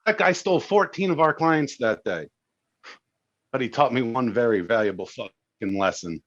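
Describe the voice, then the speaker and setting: A man's voice: mid-range voice, animated, moderate pace, a bit bright, dry recording. A man acting a brash, swaggering businessman in a screenplay table read, on a dull, lo-fi microphone. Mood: brash, aggrieved. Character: brash, foul-mouthed businessman telling a war story.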